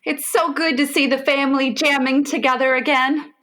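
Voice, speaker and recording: High-pitched cheerful housewife played by a woman. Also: steady tone, normal speed, a bit bright. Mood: gently supportive. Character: mild, cheerful, supportive wife and mother. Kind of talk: comedic radio play acting.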